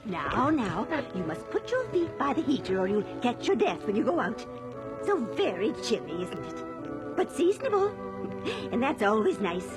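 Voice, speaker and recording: A lonely, chatty old village lady in a radio drama. Her voice is high and swings up and down as she rambles in a cheerful, fluttery, forgetful way. Music plays softly behind her. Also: big pitch swings, moderate pace. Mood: welcoming, fussing.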